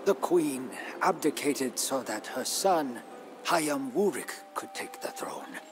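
An actor playing a proud, ambitious, ageing Majapahit minister in a game, narrating his story in a solemn, theatrical, deliberate manner. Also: medium pitch, lively intonation. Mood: brooding, determined. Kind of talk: dramatic scripted game narration in first person. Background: background music.

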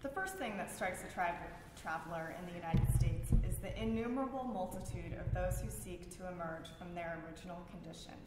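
A woman's voice: on the low side, brisk, few pauses, over music. A woman reading prepared texts aloud at a public event, sometimes stumbling over words and restarting. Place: large reverberant room at a library event. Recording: very echoey hall with background noise.

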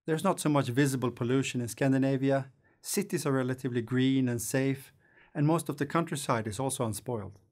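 A man, presenting a scripted lecture in a studio in a measured, thoughtful way. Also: medium pitch, a little mellow. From Sweden. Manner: measured, thoughtful explaining with hand gestures. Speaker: course lecturer. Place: studio against a green screen.

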